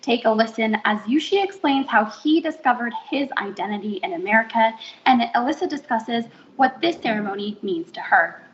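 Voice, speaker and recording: A woman's voice: on the high side, lively intonation, moderate pace, muffled recording, slight background noise. A woman hosting an online ceremony, announcing in a light, upbeat way. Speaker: ceremony host.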